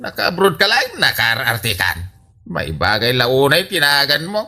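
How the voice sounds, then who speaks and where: Sharp, scolding Ilocano father, acted by a man. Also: medium pitch, wildly swooping pitch, a little slow, full character voice. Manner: scolding, grumbling. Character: scolding father, unimpressed by his putting-on-airs daughter. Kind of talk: Ilocano comedy radio drama acting.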